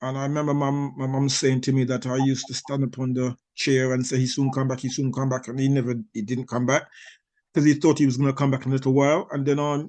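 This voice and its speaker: A man's voice: mid-range voice, flat delivery, quick pace, darkish tone. A man in his sixties, born in Barbados, answering interview questions as an author, rambling with many restarts and small chuckles. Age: 60s.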